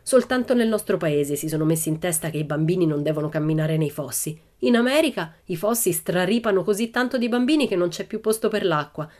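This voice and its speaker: A woman's voice: on the low side, animated, fairly quick, hardly any pauses, a little mellow, close to an everyday voice. A woman voicing a bold, cheerful little red-haired girl who tells breezy tall tales in a storybook reading.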